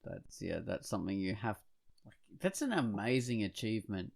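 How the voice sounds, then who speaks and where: A man's voice: on the low side, big pitch swings, normal speed, creaky, a little mellow. A man talking casually as a podcast co-host, hesitant and rambling as he thinks aloud. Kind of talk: casual discussion of films and comics.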